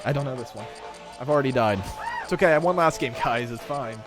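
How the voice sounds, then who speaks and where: A man's voice: medium pitch, fast talker. A young man live streaming, chatting casually and joking with his viewers while gaming. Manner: giggly, rambling, joking with chat. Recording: game music playing under the voice. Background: game music.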